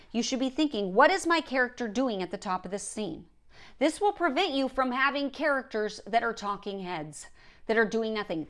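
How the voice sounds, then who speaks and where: Higher-voiced woman, emphatic writing coach. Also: a bit bright. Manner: emphatic, direct, repeats points for stress. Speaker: writing coach and show host. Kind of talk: instructional talk to camera.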